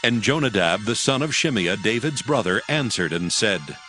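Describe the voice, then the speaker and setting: Male narrator, lowish pitch, solemn scripture reading, scripted, faint music behind. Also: big pitch swings, normal speed, pushed and bright.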